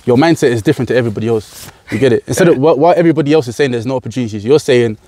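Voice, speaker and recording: A man's voice: mid-range voice, rapid-fire. A young man with a London accent, talking casually and with animation as a farmer being interviewed outdoors in a field. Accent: London English. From United Kingdom. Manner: animated, gestures while talking, repeats words.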